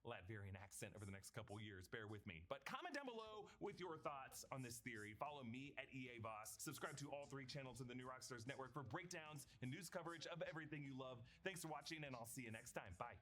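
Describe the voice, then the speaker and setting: A man narrating a scripted breakdown video in a smooth, polished delivery with dramatic emphasis. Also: mid-range voice, sing-song, brisk, few pauses, fairly bright tone.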